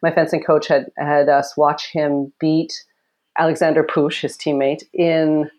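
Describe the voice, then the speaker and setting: A loud, low-pitched woman from Canada shares her story in a relaxed interview. She tells it with energy, laughs often, and acts out what people said. Her voice sits deep for a woman and carries well. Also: a little slow. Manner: animated storytelling with laughter and acted quotes. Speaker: Olympic fencer, guest. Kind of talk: casual interview conversation.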